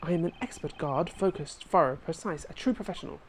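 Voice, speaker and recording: Lady doing a cocky security guard guy, low and bragging, game fan dub. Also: lively intonation, fast, a little mellow, slight background noise. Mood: boastful. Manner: self-important, bragging. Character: boastful male security guard.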